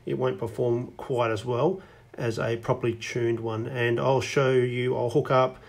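A man's voice: mid-range voice, steady tone, measured pace, creaky. A man giving a casual hands-on demonstration as a hobbyist reviewer. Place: indoors at a wooden tabletop.

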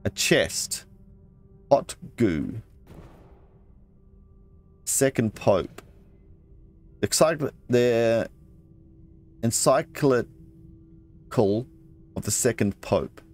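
A man's voice: medium pitch, sing-song, very slow, long pauses, faint music behind. A man playing a video game, casually commenting, reading in-game texts aloud and muttering asides to himself. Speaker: let's play gamer.

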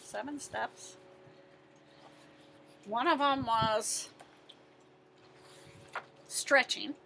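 A middle-aged American woman reads a story to young children in a high, bright voice. She takes her time, stressing words expressively and posing questions as a teacher would. Clear ambient noise sits behind her. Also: drawn-out words. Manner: expressive, emphatic, asks and answers own questions. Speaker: teacher-librarian reading to children. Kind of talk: animated picture-book read-aloud with questions to young listeners.

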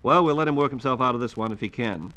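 A man's voice: mid-range voice, fast, bright, forceful tone. A man with an American accent co-hosting in scripted 1940s radio style, informally prompting the main speaker. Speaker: radio announcer and co-host. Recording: 1940s radio transcription disc.

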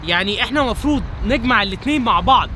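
A man's voice: very high-pitched, expressive, background noise. A young man with an Egyptian Arabic accent, hosting a casual vlog outdoors at night and chatting with a guest. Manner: brisk and upbeat, addressing viewers directly. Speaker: vlogger and interviewer. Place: outdoors at night by a city waterfront.

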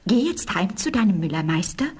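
A woman voicing a little female cat, gentle but commanding mistress of a castle, in a fairy-tale radio play, meowing between phrases. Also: on the low side, sing-song. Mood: gentle, assured. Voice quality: breathy, husky, with meows between phrases. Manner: measured, instructing, punctuated with meows.

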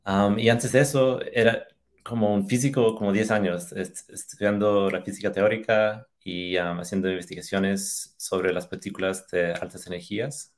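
Deep-voiced man with Australian-accented Spanish. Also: little pitch movement, moderate pace. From Australia, Tasmania. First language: English. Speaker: podcast guest, machine learning engineer. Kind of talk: casual interview answers. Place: indoors on a video call. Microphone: video call.

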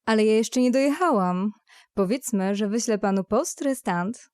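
A woman's voice: mid-range voice, normal speed, a little mellow, clean tone, no room sound, clean studio sound, natural voice. A woman playing a flirtatious, brazen, elegant mature lady in an audio drama, with a teasing, coquettish manner. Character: beautiful, flirtatious, brazen mature lady passenger in steampunk tale.

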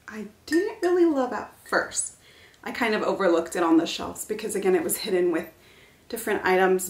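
A young woman filming a casual home decor haul vlog at home, talking to the camera in a relaxed, chatty, enthusiastic way. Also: mid-range voice, echoey room. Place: living room at home, indoors.

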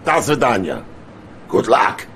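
An actor playing a jovial, pompous middle-aged Soviet premier with a Russian accent and theatrical pauses in a video game. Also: male voice, medium pitch, big pitch swings, fairly bright tone, slight background noise. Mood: grandiose. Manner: theatrical, dramatic swings and pauses.

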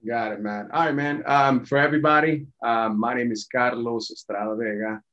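A man's voice: medium pitch, steady tone, normal speed, a bit bright. A man, telling his personal story conversationally as a guest over a video call. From born in Venezuela, raised in Colombia. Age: 40s. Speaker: podcast guest. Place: small indoor home office. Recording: dull, lo-fi video call audio.

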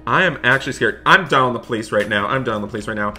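A man hosting a gaming roleplay as a dad, narrating excitedly in a dramatic, exasperated way and repeating himself. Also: medium pitch, very fast. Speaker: gaming video host playing a dad in roleplay. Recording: background music behind voice. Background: music.

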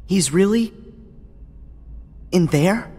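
A man's voice: high voice, animated, drawn-out words, mellow, exaggerated voice. An actor playing a brave, protective teenage boy hero in a video game, earnest and direct. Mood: determined, concerned. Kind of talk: dramatic video game acting. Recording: reverberant hall sound.

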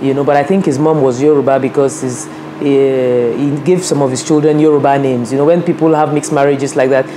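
A woman's voice: a bass voice, fairly even intonation, a little fast, noisy background. A Nigerian woman in her fifties, recorded in her office by a camera across the desk, earnestly telling her life story with many "you know" asides. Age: 50s. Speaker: interviewee, women's rights advocate. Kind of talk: reflective personal storytelling. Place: office with a large window, seated at a desk.

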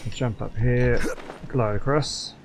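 A man's voice: medium pitch, steady tone, slow, background noise. A man giving casual gameplay commentary, hesitant and talking half to himself. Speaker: gaming YouTuber. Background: game music.

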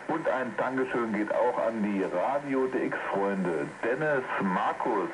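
A man from Germany hosting a hobby radio show, making announcements, heard over shortwave radio. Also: on the low side. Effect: shortwave radio broadcast. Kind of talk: radio show sign-off and thank-you announcements. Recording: noisy shortwave radio reception with static. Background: radio static and hiss.

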